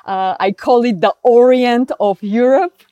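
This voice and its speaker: A woman from Bulgaria, a literary translator, answering interview questions in a reflective, measured way with frequent pauses and ums. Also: on the high side, warm tone, no echo.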